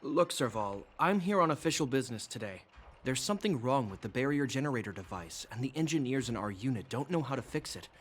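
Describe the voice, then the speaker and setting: An actor playing a dutiful, earnest younger brother and military officer in a video game, measured, formal and polite. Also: male voice, mid-range voice, expressive, close to an everyday voice. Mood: businesslike. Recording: music clearly behind the voice.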